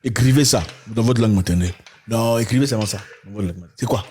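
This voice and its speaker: A man in impassioned conversation, arguing his points and mimicking other people in sudden high-pitched bursts. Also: rapid-fire, a little mellow, a little hiss or hum.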